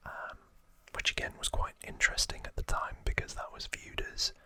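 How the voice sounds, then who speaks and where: A man's voice: normal speed, bright, forceful tone, dry recording. A man hosting an ASMR channel, whispering an informal trivia recap with hesitant, musing asides.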